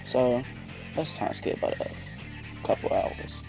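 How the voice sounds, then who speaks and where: A man with a raspy, just-woken-up voice telling a fan story in a casual, rambling way. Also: medium pitch, a little slow. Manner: rambling, laid-back, lots of fillers. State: just woke up, dry voice. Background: background music.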